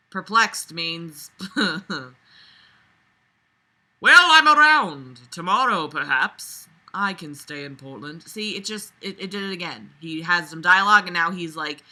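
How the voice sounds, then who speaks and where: A woman's voice: fairly low pitch, pushed and bright, rough recording. A sarcastic, exasperated woman reading a novel aloud with casual, sweary commentary. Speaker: reader and commentator.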